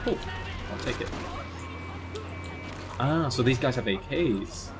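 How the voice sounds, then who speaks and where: A man's voice: mid-range voice, expressive, takes it slowly, over music. A man from the Netherlands giving casual live commentary while streaming a driving game, chatting with viewers and laughing at his own crashes.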